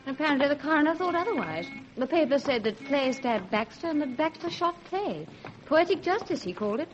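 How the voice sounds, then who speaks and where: A woman playing a policeman's brisk, affectionate wife in a radio drama, teasing and practical. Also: high-pitched, expressive, faint music behind. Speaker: actor in a radio detective drama. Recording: dull, noisy old radio recording.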